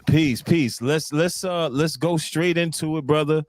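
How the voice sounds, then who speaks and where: A man's voice: a little high, measured pace, no echo. A man hosting a podcast, chatting casually in slang and often repeating words for emphasis. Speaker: podcast host. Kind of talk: casual interview chat.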